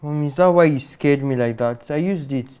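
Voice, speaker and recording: Actor playing a mellow-voiced young Nigerian son. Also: male voice, fairly high pitch, brisk, clean tone, lightly acted. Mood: evasive. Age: young adult. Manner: pleading, defensive. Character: evasive, placating grown son under family pressure. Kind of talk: film drama dialogue.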